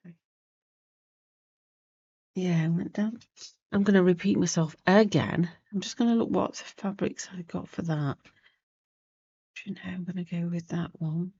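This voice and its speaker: Lady with a cold, rambling sewing chat, dark gravelly voice, slightly dull sound. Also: lowish voice, fairly even intonation, moderate pace, pauses often, no room sound. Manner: chatty, rambling, loses her thread. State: sniffly with a starting cold. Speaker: crafting channel host. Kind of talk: casual sewing tutorial chat.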